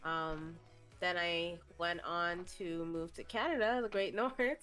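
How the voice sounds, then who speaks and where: A woman's voice: lowish voice, measured pace, a bit bright, soft background music. A young woman from the Bahamas, a streamer guest chatting casually in an interview, laughing often and swearing freely.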